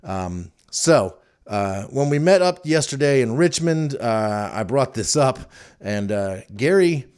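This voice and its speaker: A man's voice: medium pitch, animated, takes it slowly, a little mellow, clear, resonant tone. A man hosting a podcast, telling stories casually in an informal, wry and amused way. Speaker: podcast host. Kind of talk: casual rambling storytelling to listeners.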